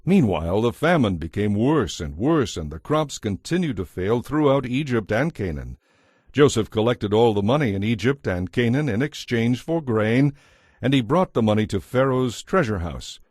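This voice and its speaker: A man narrating scripture from a script in a measured, solemn manner. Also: medium pitch, big pitch swings, darkish tone, husky, no echo, studio quality. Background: music.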